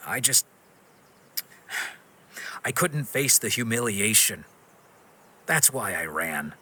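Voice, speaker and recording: A man playing a stern but forgiving wandering swordsman in a video game, speaking in a measured, firm and frank way. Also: mid-range voice, bright, forceful tone. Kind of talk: dramatic video game acting.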